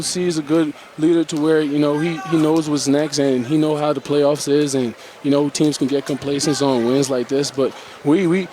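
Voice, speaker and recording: A young basketball player answers questions after a win, talking in a relaxed, earnest way and leaning on fillers. His voice sits a bit high for a man. A faint hiss of noise runs behind him. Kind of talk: post-game interview answers.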